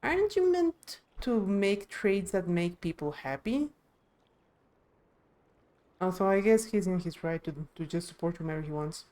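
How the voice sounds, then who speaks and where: A woman's voice: lowish voice, sing-song, unhurried, a little mellow. A woman streamer giving casual commentary while playing through a game.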